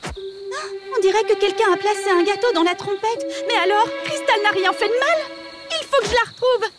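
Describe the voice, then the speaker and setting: A touchy young cartoon girl, earnest and emphatic. The voice is extremely high, with loud music behind it. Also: brisk. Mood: contrite. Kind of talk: French children's cartoon dub.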